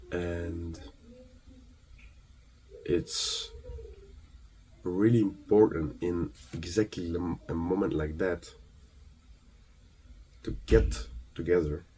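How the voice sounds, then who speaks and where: A man from Ukraine delivers an earnest, emphatic motivational monologue, halting between phrases and posing rhetorical questions. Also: low voice, a little mellow, a little hiss or hum, rough recording. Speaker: motivational speaker.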